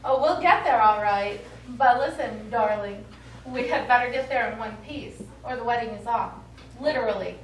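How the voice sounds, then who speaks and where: An actress playing a brash, excitable, sardonic astronaut in a stage play. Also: female voice, on the high side, normal speed, close to an everyday voice. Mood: sardonic, fond. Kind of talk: stage acting. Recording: echoey distant stage recording, faint noise.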